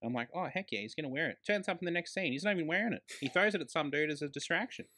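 A man's voice: fairly high pitch, animated, racing, bright, forceful tone. A man co-hosting a podcast, chatting casually about films as an exasperated fan, with slang and swearing. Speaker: podcast co-host. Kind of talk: casual film review chat.

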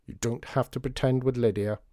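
A man's voice: mid-range voice, fairly even intonation, fairly quick, warm tone, no room sound, studio quality, close to an everyday voice. A man voicing an angry, resentful shopkeeper's wife in an audiobook, grumbling and complaining. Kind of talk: audiobook storytelling.

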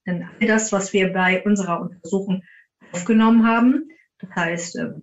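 A woman's voice: medium pitch, normal speed, muffled recording. A woman speaking as a podcast guest, explaining her work in detailed, conversational answers. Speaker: podcast guest, paranormal investigator.

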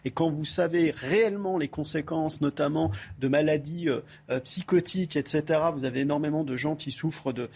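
A man's voice: a little high, a little fast, gravelly, hardly any pauses, a little mellow, husky. A man speaking earnestly as a studio debate guest, explaining his view point by point. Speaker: panel guest.

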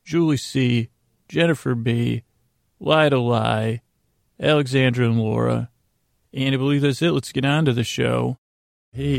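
An American man hosts a bedtime podcast in a heavily creaky, gravelly voice at a middling pitch. He meanders through tangents, halting and trailing into long pauses. The sound is close and dry, with no room around it. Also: darkish tone, dry recording. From United States. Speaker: podcast host. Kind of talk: rambling bedtime podcast monologue.

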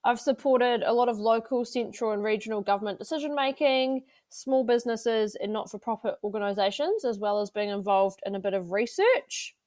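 A woman's voice: fairly high pitch, a little fast, hardly any pauses, a little mellow, no room sound. Chatty New woman, dry close mic. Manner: chatty, conversational, many fillers. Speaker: podcast co-host. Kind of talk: casual podcast chat.

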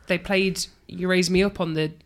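A woman's voice: fairly low pitch, moderate pace. Young woman, podcast co-host, casual chat, laughing, storytelling. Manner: storytelling, giggly, animated asides. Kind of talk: casual pub-style chat.

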